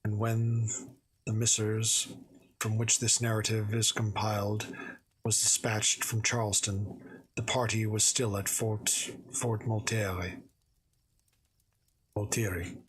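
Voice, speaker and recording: A man reading a classic story aloud on a livestream, slightly hoarse, with small slips and self-corrections. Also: fairly low pitch, level tone, slow, pauses often, a little hiss or hum, rough recording. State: a little hoarse. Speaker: streamer reading stories aloud.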